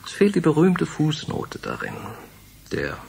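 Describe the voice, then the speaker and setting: Radio drama: an evasive, courteous publisher, very lively intonation, unctuous, slight background noise. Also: male voice, a little high, a little slow, vocal fry, full character voice. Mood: smoothly evasive. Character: polite, fussy, evasive male science publisher. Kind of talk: German radio drama acting.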